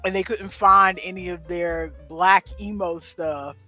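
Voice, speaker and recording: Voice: mid-range voice, fairly even intonation, a little slow, pushed and bright, faint music behind. A middle-aged livestream co-host chatting casually and telling personal anecdotes with emphatic wording.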